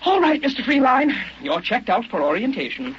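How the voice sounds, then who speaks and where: High, animated, jovial official. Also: male voice, normal speed, heavily acted. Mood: gleeful. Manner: effusive, chuckling, officious. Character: jovial, approving bureau official briefing killers, man. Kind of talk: old-time radio drama acting. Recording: muffled, noisy old radio recording.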